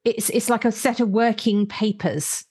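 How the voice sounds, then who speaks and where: A woman's voice: a little high, fairly even intonation, moderate pace, darkish tone, husky. A woman speaking as a podcast host, introducing and interviewing in an articulate, explanatory way.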